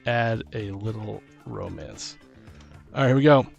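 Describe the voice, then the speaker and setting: A man co-hosting a casual tabletop gaming podcast, talking and reading aloud in a halting way, stumbling over words. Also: on the low side, creaky, darkish tone, highs cut off, soft background music. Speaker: podcast co-host.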